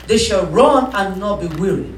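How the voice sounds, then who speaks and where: Woman preacher shouting in an echoey hall. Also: mid-range voice, lively intonation, normal speed, recorded from a distance, crowd noise. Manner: call-and-response preaching, rising to shouts. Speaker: pastor. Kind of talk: sermon. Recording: echoey room, voice from off-mic.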